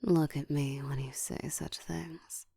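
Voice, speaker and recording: A woman playing a seductive, domineering ancient vampire in an intimate ASMR roleplay, speaking in a slow, breathy whisper with a teasing, commanding manner, close to the mic. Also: low-pitched, steady tone, warm tone, no room sound, exaggerated voice. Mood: dark, domineering. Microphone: close microphone.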